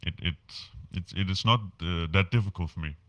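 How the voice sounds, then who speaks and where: Danish guy with a really low voice, lots of ums and uhs, kinda muffled dry sound. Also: fairly bright tone, dry recording. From Denmark. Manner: halting, many fillers and restarts. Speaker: podcast guest, musician. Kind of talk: casual interview chat.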